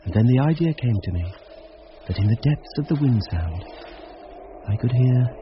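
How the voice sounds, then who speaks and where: A man acting an earnest amateur detective in a radio drama, with a mid-pitched voice. He is measured and reflective, reasoning things out to himself. The recording is dull, with noise behind him. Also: animated, lightly acted. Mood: brooding. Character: earnest, rational male amateur sleuth, narrating his investigation. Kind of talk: dramatic first-person radio drama narration and musing.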